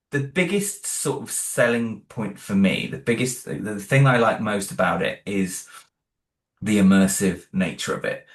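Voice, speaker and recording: A man, the creator of an audio drama, talking in a casual interview. He is modest and hesitant, with many restarts. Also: sits low. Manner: modest, self-deprecating.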